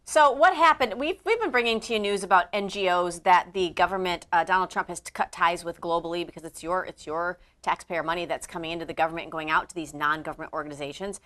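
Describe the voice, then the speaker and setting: A woman's voice: lowish voice, fast, few pauses, fairly bright tone. Chatty woman co-hosting a political talk show. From Midwest of the US. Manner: chatty, laughs often, drifts between topics. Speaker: co-host. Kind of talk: casual political chat.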